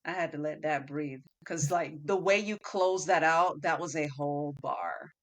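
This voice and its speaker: A woman's voice: low-pitched, normal speed. A woman hosting a podcast, chatting casually and affirming her guest with loose, rambling questions. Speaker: podcast host. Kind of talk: casual interview conversation.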